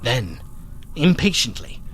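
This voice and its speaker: Slow, solemn gothic gentleman narrator. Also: mid-range voice, very animated, slight background noise. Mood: dread. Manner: literary, solemn, theatrical. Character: melancholy, fearful gentleman narrator, loyal old friend. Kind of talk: dramatic gothic radio narration.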